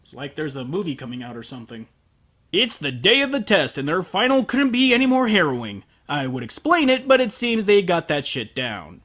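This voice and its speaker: A man's voice: on the high side, big pitch swings, fairly quick, fairly bright tone. A man hosting a comedic anime review, sarcastic and profane, ranting at the show.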